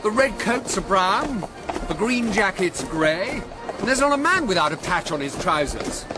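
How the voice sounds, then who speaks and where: A man's voice: high voice, big pitch swings, normal speed. An actor playing a wry, emphatic British army man in a radio drama. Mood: wry, rueful.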